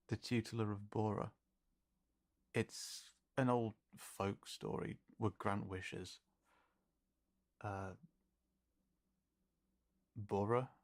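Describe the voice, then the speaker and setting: Man acting a slow, halting fantasy companion. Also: on the low side. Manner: halting, soft-spoken, reassuring. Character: easygoing, hesitant male fantasy companion, gently supportive. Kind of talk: intimate audio roleplay acting.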